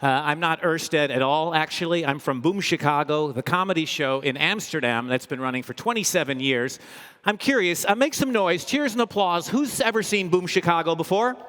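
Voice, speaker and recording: A man hosting a live event as a comedian, speaking in an upbeat, energetic, joking way with playful mock boasts. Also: on the high side, normal speed. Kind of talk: humorous live stage presentation. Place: large indoor event hall, live audience. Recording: echoey big hall, long reverb.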